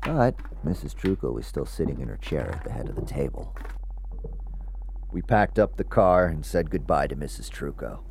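A man playing an anxious, troubled ghost investigator who narrates his audio drama in a halting, confessional way. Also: extremely low pitch, big pitch swings, gravelly, background noise, full character voice. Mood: brooding, uneasy. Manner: halting, hesitant, uneasy.

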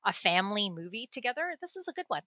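A woman's voice: mid-range voice, big pitch swings, fast talker, bright, forceful tone, dry recording, clean studio sound. A woman and mother chatting casually about a teen movie, with frequent restarts and laughter. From United States. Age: adult parent. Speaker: movie review guest.

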